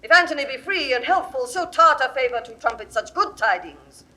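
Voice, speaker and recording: A high-pitched, imperious queen. She is lovesick and volatile, speaking classical verse with grand theatrical force, as in a 1960s audio drama. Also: animated, fairly quick, sharp tone. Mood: anxious, threatening.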